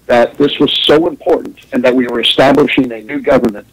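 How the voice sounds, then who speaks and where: A man's voice: medium pitch, steady tone, brisk, husky, poor audio. A man who grew up in Japan, hosting a radio talk show, rambling through an opinionated monologue with wry chuckles.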